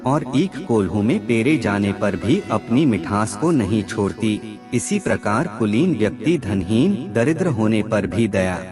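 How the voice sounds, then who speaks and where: A man reading aphorisms as scripted narration, in a measured, formal reciting manner. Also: lowish voice, animated. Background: background music.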